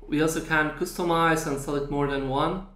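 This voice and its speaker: A man presenting a screen-recorded software tutorial, explaining step by step with frequent fillers. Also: on the high side, steady tone, normal speed. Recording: echoey room.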